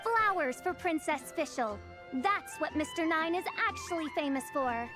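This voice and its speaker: Grandiose teen princess, very high voice, archaic and haughty, big game music. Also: female voice, animated, measured pace. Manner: pompous, grandiloquent, archaic phrasing. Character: haughty theatrical teenage girl posing as gothic princess. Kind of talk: anime game voice acting.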